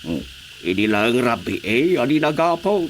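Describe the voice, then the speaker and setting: A man's voice: mid-range voice, very animated, normal speed, close to an everyday voice. A man playing a flustered older villager with an Ilocano accent in a radio drama comedy. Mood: flustered, complaining. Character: flustered older male villager reporting missing goats. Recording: faintly noisy radio drama track.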